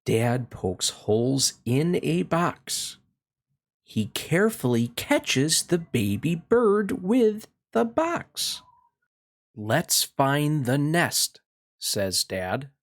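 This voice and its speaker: A man hosting a read-along, reading a children's picture book aloud in a slow, expressive way and then chatting about it. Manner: slow, expressive storytelling with swooping pitch.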